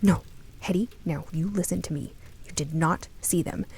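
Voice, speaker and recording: A woman's voice: on the low side, normal speed, a little mellow, breathy edge. A woman playing an anxious, protective, motherly older woman in a radio drama, with theatrical emotion. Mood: distraught, guilty.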